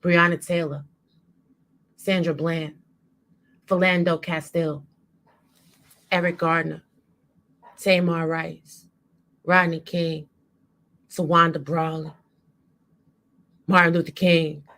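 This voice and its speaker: A woman delivering an impassioned spoken-word monologue with dramatic, emphatic and deliberate phrasing. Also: sits low, level tone, fairly bright tone.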